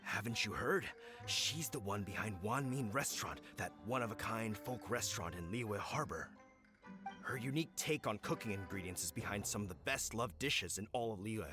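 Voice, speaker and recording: Actor as a male game merchant, lowish pitch, very animated, brash, earnest, emphatic, music behind. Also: normal speed, lightly acted. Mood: eager, persuasive. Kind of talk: video game voice acting.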